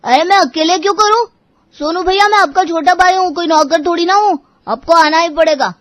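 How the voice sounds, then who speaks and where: A woman voicing a whiny, reluctant young boy who grumbles about errands in an animated moral story. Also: extremely high pitch, brisk, dry recording. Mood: indignant. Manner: grumbling, protesting.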